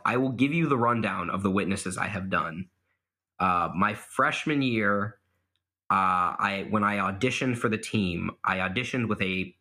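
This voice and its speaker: A man's voice: lowish voice, bright, forceful tone. A young man answering interview questions casually, self-deprecating and laughing at his own stories, with plenty of fillers. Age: young adult. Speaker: guest, mock trial competitor.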